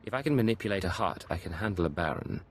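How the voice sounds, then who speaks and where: Quick-talking, self-assured noble agent. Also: male voice, low-pitched, expressive. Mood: confident. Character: haughty, smooth undercover agent posing as count. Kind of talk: video game voice acting.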